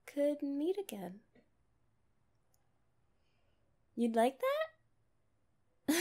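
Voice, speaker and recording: A young woman playing a kind, curious but guarded mermaid in a conversational ASMR roleplay, chatting casually with hesitant pauses. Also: high-pitched, vocal fry, heavily acted. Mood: shy, fond.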